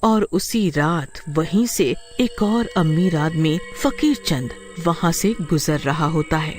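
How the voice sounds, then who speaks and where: A woman narrates a scripted story dramatically, with a lively, swooping intonation. Her pace is slow, and faint music plays behind her. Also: low-pitched.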